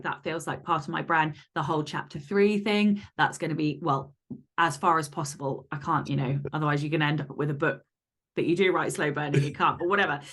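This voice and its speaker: A woman's voice: deep voice, fairly bright tone. A woman speaking as an author guest in a casual interview, explaining things in a candid, informal and detailed way. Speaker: podcast guest, fiction author.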